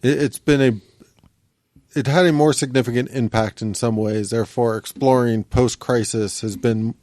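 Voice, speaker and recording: A man's voice: mid-range voice, fairly even intonation, moderate pace, a little mellow, no room sound. Man chatting on a dry-sounding podcast mic. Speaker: podcast co-host. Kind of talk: casual panel chat about comics and TV.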